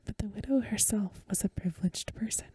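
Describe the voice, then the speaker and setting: Breathy whispering woman reading ASMR. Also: mid-range voice, no echo. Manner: hushed, unhurried, soothing storytelling. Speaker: ASMR reader and host. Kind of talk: soft-spoken ASMR reading of a Victorian story. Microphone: close microphone.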